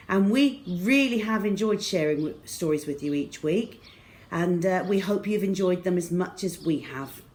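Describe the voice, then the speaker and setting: A middle-aged woman reading a children's novel aloud to schoolchildren in a measured, deliberate way. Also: mid-range voice, darkish tone, slight background noise. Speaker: storyteller for schoolchildren. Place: small plain room indoors.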